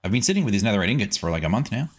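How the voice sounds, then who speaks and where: Low-voiced man with very animated, swooping pitch. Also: very fast, slightly rough. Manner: playful, talks to himself, sprinkles silly words and sing-song asides. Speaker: gaming host. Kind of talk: casual game commentary, let's play.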